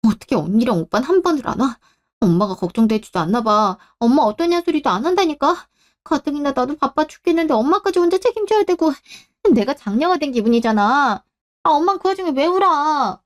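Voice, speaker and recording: A woman's voice: high-pitched, expressive, brisk, voice pushed up. A woman doing a whiny petulant daughter. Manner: complaining, petulant. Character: whiny, self-pitying adult daughter.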